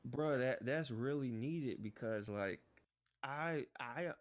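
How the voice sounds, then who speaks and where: A man co-hosting a podcast in relaxed, rambling casual chat, often saying "bruh" and "like". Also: mid-range voice, takes it slowly. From United States. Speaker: podcast co-host.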